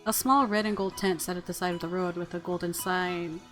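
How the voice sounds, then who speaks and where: A woman hosting a video game playthrough, reading narration aloud and gushing with excitable reactions. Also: lowish voice, brisk. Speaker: host of a gaming channel. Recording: faint music behind. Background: soft game music.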